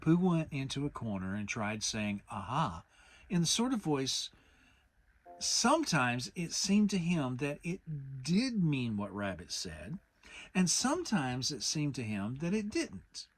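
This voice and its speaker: A man's voice: on the high side, sing-song, unhurried. A man reading a children's story as a dramatic audiobook narration. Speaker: audiobook reader.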